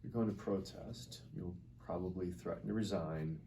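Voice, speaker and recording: A man's voice: deep voice, normal speed, creaky. A man at a table read playing a cynical arms dealer who is casually, coolly defiant under interrogation. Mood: calm certainty. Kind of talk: acted screenplay table read. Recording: noticeable background noise.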